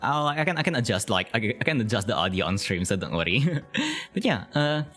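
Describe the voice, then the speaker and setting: A giggly man hosting a chat stream, his voice jumping up and down a lot as he talks. He fills every pause with "like" and "uh", and faint music plays behind him. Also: on the low side, sing-song, a bit bright. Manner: giggly, filler-heavy, lots of "like". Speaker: interview show host. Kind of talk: casual chat over a video call.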